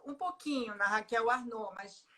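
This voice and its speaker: A middle-aged woman, an artist in a conversational interview, talking with many pauses, fillers and restarts. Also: fairly high pitch, sharp tone.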